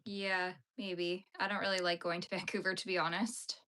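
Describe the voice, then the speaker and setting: A woman's voice: fairly low pitch, level tone, moderate pace, bright, forceful tone. A young woman, chatting playfully with her livestream viewers while she crochets. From Canada. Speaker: livestream host.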